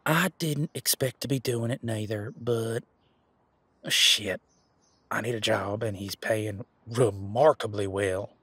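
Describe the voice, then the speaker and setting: A man playing a laid-back, responsible werewolf security guard in a casual roleplay audio, talking in a colloquial, halting, matter-of-fact way with a husky voice. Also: lowish voice, a bit bright, no room sound, full character voice. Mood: sheepish, defensive.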